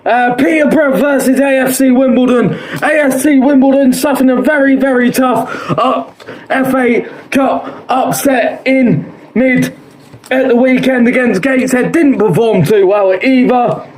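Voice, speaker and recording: A man with a hoarse, fading voice hosts his own show, predicting football scores in a chatty, repetitive way and filling pauses with "uh". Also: extremely high pitch, a little mellow, slight background noise, low-quality recording. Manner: chatty, quotes odds, repeats himself, frequent "uh".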